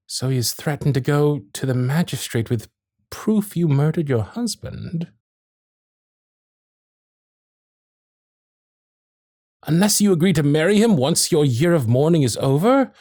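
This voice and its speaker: Victorian gentleman suitor, male actor, genteel and flirtatious period diction, lively intonation, intimate dry sound for romantic audio drama. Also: on the high side, no echo. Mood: probing, concerned. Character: courtly, infatuated Victorian gentleman suitor, protective and witty. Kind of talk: ASMR romantic roleplay, period piece acting. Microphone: close studio microphone.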